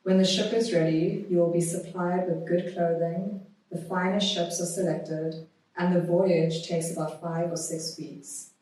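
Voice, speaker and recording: A woman guest on a podcast, talking conversationally and reading aloud in a measured, deliberate way. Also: on the low side, fairly even intonation, dark tone. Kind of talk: conversational interview, reading a historical notice aloud. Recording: very echoey room, distant microphone.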